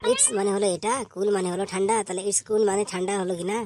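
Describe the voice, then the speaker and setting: A woman's voice: mid-range voice, fairly even intonation, racing, warm tone, a little hiss or hum, natural voice. A woman voicing a cheeky, earnest schoolchild in a comic cartoon, reciting answers eagerly. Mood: matter-of-fact.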